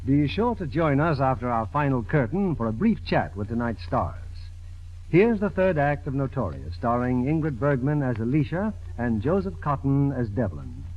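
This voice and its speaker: A man's voice: mid-range voice, big pitch swings, normal speed. A man hosting and narrating a 1940s radio drama in a formal scripted style. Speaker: radio producer and host. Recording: dull vintage radio recording with music.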